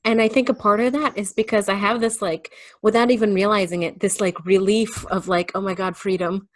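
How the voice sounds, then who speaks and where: A woman's voice: mid-range voice, fairly even intonation, fairly quick, dry recording. A woman guest in a casual personal chat, speaking candidly and informally with emphatic turns of phrase.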